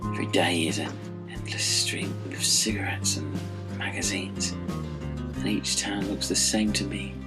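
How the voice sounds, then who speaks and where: Slow, very low-voiced dazed castaway played by a man. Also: loud music behind the voice. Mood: dreamy, wistful. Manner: dazed, halting, rambling, repeats words. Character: dazed, dreamy, laid-back castaway man.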